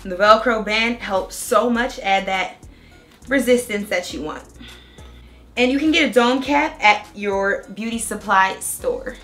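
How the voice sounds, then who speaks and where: A young woman with a bright, forward tone talks her viewers through a wig install, chatty and excited as she explains each step. A cold has left her voice a little raspy. Soft music plays quietly underneath. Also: mid-range voice, measured pace. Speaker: beauty vlogger. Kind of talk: casual hair tutorial and review.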